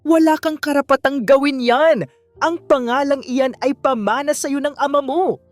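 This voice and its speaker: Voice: high voice, normal speed, dry recording, pitched above the performer's own voice, close to an everyday voice. A narrator voicing a haughty, stern older rich mother, commanding and threatening, in an audiobook love drama. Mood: enraged, threatening.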